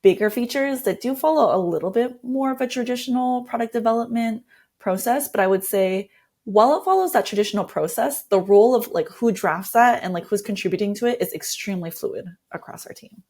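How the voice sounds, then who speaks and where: A woman's voice: fairly high pitch, a little fast, a little mellow. Woman explaining things conversationally. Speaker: interview guest, design lead. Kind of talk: conversational explanation, tips walkthrough.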